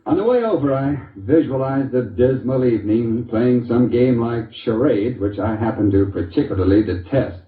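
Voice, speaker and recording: An actor playing a genial, wry husband who tells a folksy, hesitant story in an old-time radio commercial. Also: male voice, medium pitch, animated, normal speed, few pauses, recorded from a distance, lightly acted. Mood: wry, amused. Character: genial, wry husband telling beer testimonial. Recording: muffled, echoey old radio recording.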